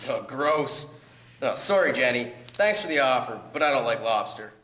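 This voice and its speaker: A man's voice: medium pitch, expressive, normal speed, sharp tone. A man playing a cheeky, reluctant teenage schoolboy in a 1920s radio play. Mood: sheepish. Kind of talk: scripted audio drama acting. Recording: echoey hall reverb with faint noise.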